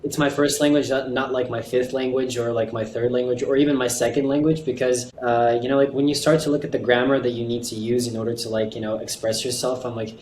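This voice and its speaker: A young man whose first language is Russian, co-hosting a casual podcast chat in an animated way, filling his speech with "like". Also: mid-range voice, fast, hardly any pauses, echoey room, slight background noise. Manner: animated, heavy use of "like". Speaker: podcast co-host.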